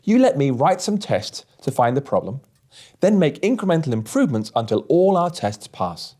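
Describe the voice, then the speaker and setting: A man voicing a mild, hesitant male software developer in a storybook, polite and tentative. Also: medium pitch, sing-song, normal speed.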